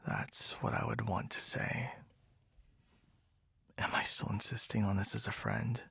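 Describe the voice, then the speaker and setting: A young man voicing a jealous, possessive best friend in a whispered roleplay, speaking low and breathy with frequent sighs, close to the microphone. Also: flat delivery, unhurried, bright, forceful tone, dry recording, full character voice. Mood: irritated, defensive. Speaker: ASMR roleplay voice actor. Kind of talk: whispered ASMR boyfriend roleplay.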